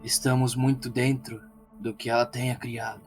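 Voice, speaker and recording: Man playing a measured, grave fantasy sage. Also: mid-range voice, level tone, music in the background, close to an everyday voice.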